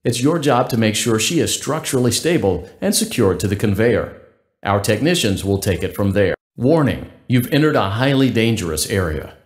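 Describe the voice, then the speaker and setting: Echoey, low-pitched cheerful robot guide played by a man. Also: moderate pace, lightly acted. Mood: upbeat deadpan, then formal warning. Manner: upbeat corporate formality, deadpan about danger. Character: cheerful, blandly polite robotic guide for night-shift workers. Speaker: automated workplace guide. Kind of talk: scripted game announcement.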